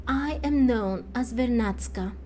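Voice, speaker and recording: A woman's voice: fairly high pitch, unhurried, mellow, a little hiss or hum, natural voice. A woman voicing a quirky young priestess in a video game, theatrical and given to lofty, archaic phrasing. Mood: grand, solemn. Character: quirky young priestess, flustered, then grandly pompous. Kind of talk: fan voice-over of video game dialogue.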